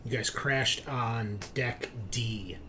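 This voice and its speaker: A man's voice: medium pitch, takes it slowly. A man casually explaining a tabletop game situation as game master, in an informal way. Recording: home session with loud background noise.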